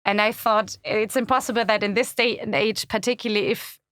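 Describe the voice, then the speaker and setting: A woman's voice: medium pitch, fairly even intonation, fairly quick, fairly bright tone, no room sound. A woman from Germany with a German accent, a guest on a studio podcast chatting casually and thoughtfully with many fillers. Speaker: podcast guest, community website founder. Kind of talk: casual interview chat.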